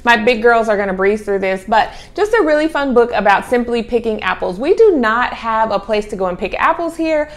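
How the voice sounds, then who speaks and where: Higher-voiced middle-aged woman, casual vlog host. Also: expressive, brisk, few pauses. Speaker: homeschooling mother and vlog host. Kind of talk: casual chat to camera, presenting children's books. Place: small home room with sloped ceiling. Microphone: clip-on lapel mic.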